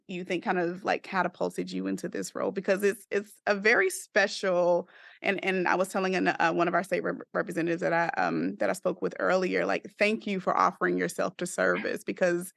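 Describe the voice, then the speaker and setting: Female interviewer, conversational and wordy, halting phrasing, gravelly fry, very dry recording. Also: lowish voice, no room sound. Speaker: podcast host.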